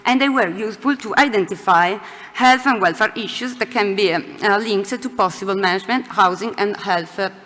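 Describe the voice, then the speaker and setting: Halting woman speaking in a reverberant hall. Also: mid-range voice, a bit bright. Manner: halting, many fillers, searching for words. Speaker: conference presenter answering questions. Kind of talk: hesitant academic Q&A. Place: lecture hall. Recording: hall reverb, faint room noise.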